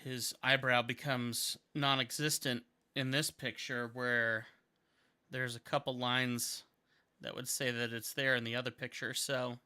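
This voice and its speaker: A man's voice: medium pitch, flat delivery, measured pace, pushed and bright, clear, resonant tone. A man in his thirties from Montana, chatting casually and upbeat while he draws on a livestream, rambling and thinking aloud. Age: 30s. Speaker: caricature artist and videographer.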